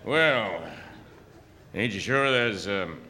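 A man's voice: lowish voice, animated, very slow, pushed and bright, full character voice. A man playing a wry, crusty older traveling wizard with folksy cowboy ways in a comic stage show. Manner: wry, folksy, hesitant asides. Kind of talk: comic audio theatre acting before an audience. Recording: reverberant live theatre hall.

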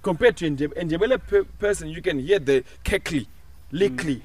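A man with a Zimbabwean accent talks on a casual podcast, slipping between English and Shona. His pitch is lively and rides up and down as he argues. He sits a bit back from the mic, so his voice sounds slightly distant. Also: normal speed, no room sound. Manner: animated, interrupting, switching between English and Shona. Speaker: podcast co-host.

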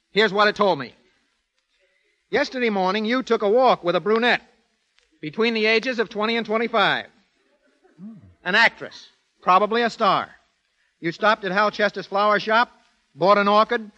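A man gives a prepared, explanatory talk on 1930s radio. His voice is high and bright with precise delivery, and the recording is dull and lo-fi. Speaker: guest detective.

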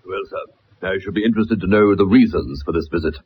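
An actor playing a stern, gruff old colonel in a radio drama, with a curt, pompous, formal manner. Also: male voice, sits low, brisk. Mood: curt suspicion. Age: older. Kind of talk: 1960s radio drama acting. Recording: muffled old radio broadcast recording.

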